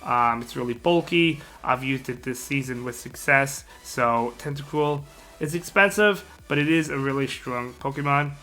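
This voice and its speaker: A sick man with a hoarse voice hosting a casual game strategy video, rambling with lots of fillers and repeated words. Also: medium pitch, moderate pace, pushed and bright. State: sick, partly lost voice. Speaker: gaming channel host.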